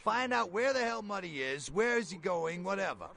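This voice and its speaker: A man's voice: high voice, very animated, normal speed, vocal fry, bright, forceful tone, exaggerated voice. An actor playing a jittery, folksy bail bondsman in a video game, talking in colloquial street slang. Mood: brisk, streetwise.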